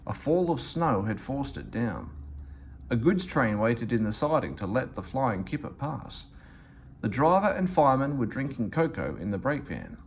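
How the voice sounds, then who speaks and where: Animated man reading a children's story. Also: mid-range voice, moderate pace, slight background noise. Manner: expressive, animated delivery. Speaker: storybook reader. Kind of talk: read-aloud children's story narration.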